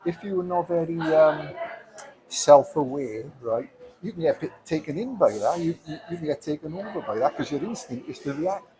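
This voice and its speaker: A man's voice: a little high, animated, a little fast, warm tone, low-quality recording. A man co-hosting a casual chat, laid-back and rambling, with many fillers. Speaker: show co-host.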